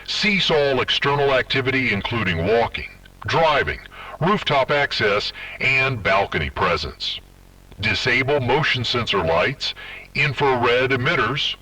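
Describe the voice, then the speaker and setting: A stern man reads an emergency directive in a bright, husky voice that swings widely in pitch. He sounds commanding and official. His voice is heard from some distance from the mic. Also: no room sound. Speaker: emergency broadcast announcer. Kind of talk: scripted emergency directive reading.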